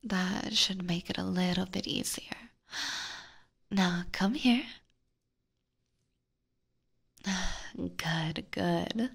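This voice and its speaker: A woman voicing a possessive, aristocratic vampire catgirl in a slow, seductive, teasing whisper for an ASMR roleplay, close on a binaural microphone. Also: lowish voice, full character voice. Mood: commanding, intimate. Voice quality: breathy whisper. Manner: slow, formal, coaxing.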